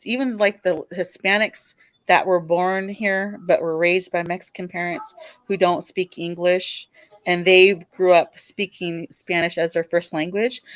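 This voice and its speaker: A woman's voice: on the low side, no room sound. A woman with a Californian accent, calling in to a live debate show, talking hesitantly with many restarts and fillers.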